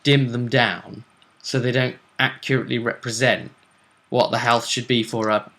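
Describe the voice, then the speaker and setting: A man with a distinctly bright voice at a middle pitch hosts a tabletop role-play show. He talks casually and explains things in a loose, thinking-aloud way, often restarting a thought to put it another way. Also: steady tone, moderate pace. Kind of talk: casual discussion of game match-ups.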